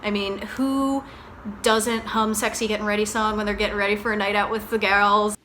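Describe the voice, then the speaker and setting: A woman's voice: medium pitch, little pitch movement, normal speed, fairly bright tone. Woman, chatty fan, playful, background ambience noise.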